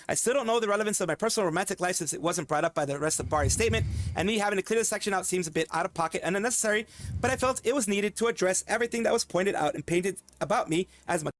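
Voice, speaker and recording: A man streaming commentary, reading a document aloud and stopping often to comment, with fillers and restarts. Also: sits high. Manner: fast, halting, with frequent fillers and restarts.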